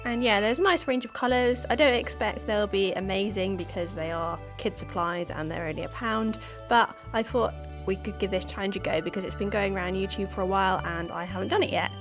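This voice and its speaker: Croaky woman with a cold. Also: mid-range voice, a little fast, over music. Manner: chatty and self-deprecating. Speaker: art channel host. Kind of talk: casual voice-over narration.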